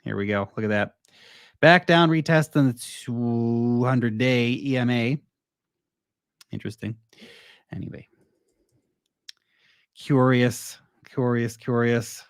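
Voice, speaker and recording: A man's voice: mid-range voice, slow pace, long pauses, smooth, no echo, studio quality. A man hosting a casual livestream on crypto and stock markets, rambling through asides and answering his chat as he goes. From United States.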